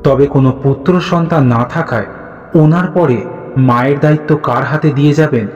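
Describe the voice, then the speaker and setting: A man narrating a scripted horror story in a dramatic, deliberate way. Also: mid-range voice, darkish tone, lo-fi sound. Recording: echoey, reverberant, music behind.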